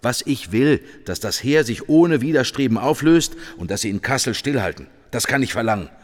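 An actor playing a wary, irritated head of government in a radio drama, insistent and sardonic. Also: male voice, mid-range voice, lively intonation, normal speed, close to an everyday voice. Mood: wary, resolute. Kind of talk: German radio drama acting. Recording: long reverb, music underneath.

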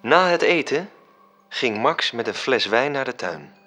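An actor playing a coolly self-satisfied angel who recounts his schemes in a measured, precise radio drama narration. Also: medium pitch, very animated, bright, forceful tone, exaggerated voice. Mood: measured, solemn.

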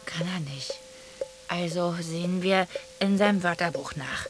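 A woman's voice: on the low side, a little slow, loud music behind the voice, natural voice. A woman acting in a radio drama as a young storyteller, narrating in a matter-of-fact, laconic way.

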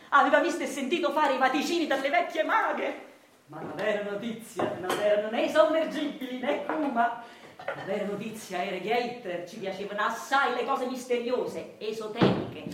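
A woman playing a self-styled intellectual husband who narrates a radio drama theatrically and deliberately, with a Neapolitan accent. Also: a little high, echoey room, background noise, close to an everyday voice. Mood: storytelling. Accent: southern Italian, Neapolitan. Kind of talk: theatrical scripted storytelling.

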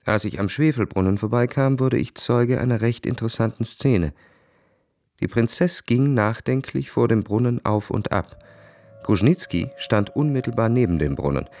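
An actor playing a proud, bored, cynical army officer who tells his story in a cool, measured, ironic way for a radio play. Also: on the low side, a little mellow. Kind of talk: literary radio play narration and dialogue.

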